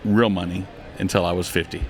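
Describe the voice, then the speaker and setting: A man's voice: low-pitched, little pitch movement, brisk, background noise. A middle-aged man, a business owner appearing as a guest on a casual talk show, speaking plainly and agreeing often. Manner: plainspoken, frequent short agreements.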